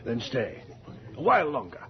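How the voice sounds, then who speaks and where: An actor playing a dignified, gallant colonel, formal and halting, in a radio horror drama. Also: male voice, medium pitch, huge pitch swings. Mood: tender, urging. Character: gallant, dignified army colonel, nervously courtly. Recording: dull 1980s radio recording with music.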